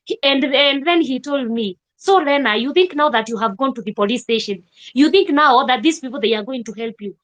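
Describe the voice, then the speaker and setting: A young Kenyan woman telling a personal story in a vlog, with animated emphasis and repeated rhetorical questions. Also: high-pitched, brisk. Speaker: vlogger.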